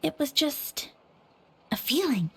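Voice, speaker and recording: An actress playing a dreamy, flustered, lovestruck young townswoman in a video game, with a halting, swooning delivery. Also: high voice, big pitch swings, creaky, bright, forceful tone, full character voice. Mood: wistful. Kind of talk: video game character acting.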